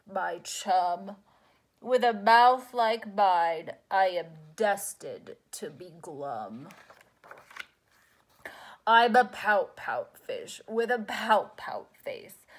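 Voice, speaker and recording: A woman voicing a gloomy, grumpy male fish in a storybook read-aloud, with a slow, mopey, droning delivery. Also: mid-range voice.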